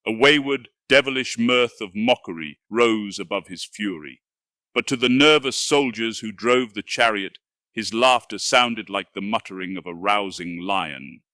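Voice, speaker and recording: A man narrates dramatic fiction in a low voice with a forceful, bright edge. The delivery is grand and measured, like scripted audiobook storytelling, and the sound is very dry and close. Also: no echo.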